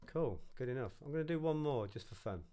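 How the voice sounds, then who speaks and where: A man's voice: medium pitch, expressive, quick pace. A man narrating an informal music production tutorial, casually thinking aloud as he works.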